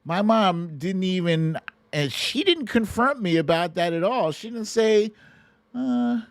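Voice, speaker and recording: Slow-talking middle-aged American man. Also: high voice, animated, gravelly, no room sound. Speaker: show host. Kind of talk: casual monologue, commentary and storytelling on a livestream.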